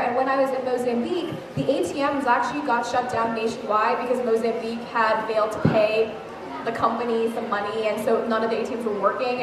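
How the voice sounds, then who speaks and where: A woman's voice: a little high, noisy background. A young woman from Thailand speaking on a festival panel about travel, earnest and storytelling. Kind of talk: panel discussion. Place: large hall with a live audience. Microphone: handheld mic. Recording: echoey hall recorded from far off.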